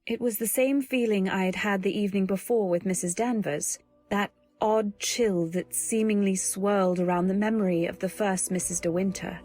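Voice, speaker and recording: An actress playing an anxious young wife, telling her story in measured, literary old-time radio drama style. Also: mid-range voice, fairly even intonation, dark tone, soft background music, natural voice. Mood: pensive, uneasy.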